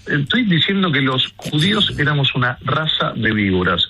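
A man's voice: on the high side, big pitch swings, normal speed, noisy background. An Argentine man speaking as an interview guest, indignant and emphatic, with rhetorical turns. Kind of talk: emphatic opinion in a radio interview.

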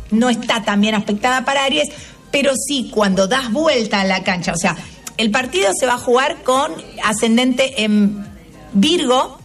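An older woman with a Rioplatense Spanish accent hosts a tarot and astrology show, telling stories in a lively, chatty way with frequent laughs. Her voice sits fairly high. The mic picks her up from a little way off. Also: distant mic. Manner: chatty, animated storyteller who laughs often. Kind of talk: casual chat.

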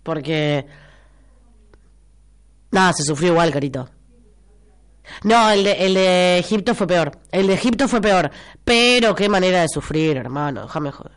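A woman's voice: lowish voice, expressive, measured pace, long pauses. A woman in her twenties with an Argentine accent, a stuffy nose and a cough, chatting casually on morning radio and reading out listener messages, repeating phrases for emphasis. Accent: Argentine Spanish. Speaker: radio host. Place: radio studio.

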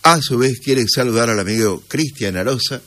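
A man's voice: mid-range voice, moderate pace, a bit bright. A sleepy man with an Argentine accent hosting a morning radio show, chatting casually and unhurriedly with listeners.

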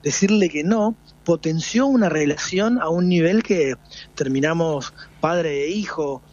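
A man's voice: fairly high pitch, unhurried, darkish tone, muffled recording. A man in his forties with an Argentine Spanish accent, a folk singer being interviewed, talking reflectively with many restarts. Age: 40s. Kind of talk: radio interview.

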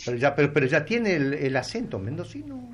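A man's voice: on the high side, very animated, fast. An Argentine man hosting a live news programme, interviewing and presenting, with a habit of interjecting and repeating himself.

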